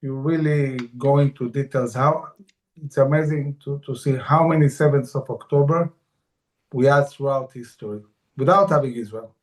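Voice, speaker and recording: A man's voice: medium pitch, normal speed, poor audio. Passionate man defence expert. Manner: passionate, emphatic repetition.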